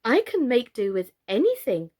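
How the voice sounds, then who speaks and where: A woman's voice: a little high, wildly swooping pitch, normal speed, darkish tone, pitched above the performer's own voice. A woman reading a storybook, voicing a humble, simple, sweet-natured female doll in a meek, wondering manner. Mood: contented.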